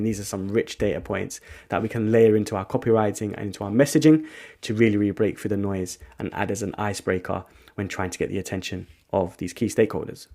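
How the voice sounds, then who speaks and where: Fast-talking man with a dark voice. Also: fairly low pitch. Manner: enthusiastic, thinking out loud with restarts. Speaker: agency founder giving a software tutorial. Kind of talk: casual screen-share walkthrough.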